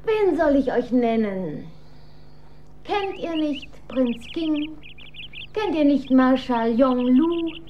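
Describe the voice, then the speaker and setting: An actress playing an imperious, manipulative dowager empress in a German radio drama, speaking in a formal, stately and measured manner. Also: female voice, high-pitched, lively intonation. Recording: dull recording with faint noise.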